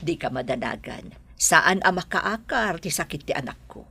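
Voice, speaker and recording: A woman's voice: fairly low pitch, expressive, normal speed, vocal fry, pushed and bright, breathy edge, exaggerated voice. A woman acting in a radio drama as a well-off, concerned city madam and mother with an Ilocano accent.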